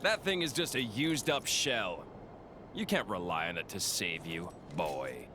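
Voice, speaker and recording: A man's voice: low voice, lively intonation, unhurried, background noise. An actor playing a cruel, mocking, theatrical male villain in a video game cutscene. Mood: gloating. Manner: taunting, sneering, theatrical. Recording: loud background music.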